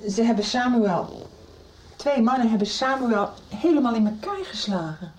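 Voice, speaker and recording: An actress playing a shaken, insistent middle-aged mother, agitated and halting, in a radio soap. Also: on the high side, animated, a little mellow, a little hiss or hum, lightly acted, poor audio. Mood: shaken, anxious. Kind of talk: Dutch radio soap acting.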